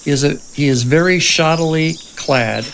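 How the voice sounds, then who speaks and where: A man's voice: fairly high pitch, normal speed, creaky, fairly bright tone, a little hiss or hum. A man presenting a podcast in a scripted way and narrating a dramatic script reading as its writer-director.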